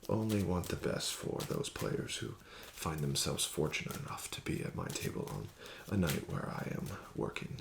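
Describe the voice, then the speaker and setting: A man doing an ASMR roleplay as a casino card dealer, talking slowly and softly with smug, teasing patter that slips into whispers. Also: rumbling low, sing-song, gravelly. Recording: audible background noise.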